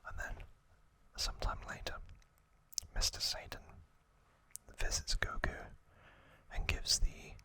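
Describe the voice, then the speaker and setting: A man hosting ASMR, whispering trivia in a breathy, hushed way, in short halting phrases. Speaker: ASMR host.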